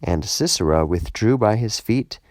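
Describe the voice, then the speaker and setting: A man reading scripture as scripted narration, solemn and measured, in short deliberate phrases. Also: on the low side, lively intonation, darkish tone, no room sound, clean studio sound. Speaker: scripture reader, audiobook narrator.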